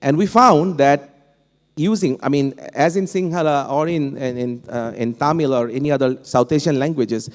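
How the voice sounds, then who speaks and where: A man from Bangladesh, a native Bangla speaker with a Bangladeshi accent, speaking on a panel in a halting way, with many fillers and restarts. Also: mid-range voice. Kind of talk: unscripted panel talk. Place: conference hall. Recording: long hall reverb.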